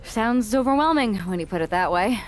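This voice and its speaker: Actress as a wry, lively-toned woman wrestler. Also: mid-range voice, brisk, a little hiss or hum, close to an everyday voice. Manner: wry, casual, sardonic. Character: wry, guarded young woman wrestler leading a team. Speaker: player's wrestler character in a video game. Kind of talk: scripted video game cutscene dialogue.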